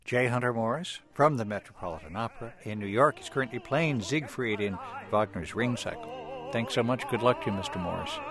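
A man hosts a broadcast interview and asks brief, courteous questions at a middling pitch. Music plays clearly under his voice. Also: brisk. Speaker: radio interviewer, host.

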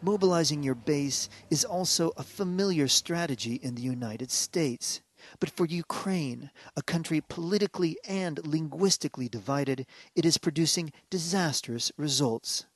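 A man reading a scripted news report as a foreign correspondent, in a measured, even way. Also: a little high.

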